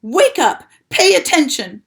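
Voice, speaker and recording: A woman reading aloud and voicing a frantic young male pig who pleads in a high, loud, desperate voice. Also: sing-song, unhurried, gravelly, heavily acted.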